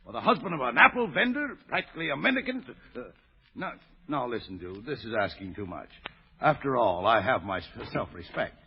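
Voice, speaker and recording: An actor playing a pompous, flowery pool-hustling judge in a 1930s radio comedy, hemming and stammering grandly. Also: male voice, medium pitch, sing-song, a little fast, slightly rough, exaggerated voice. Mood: indignant. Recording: muffled, reverberant old radio recording.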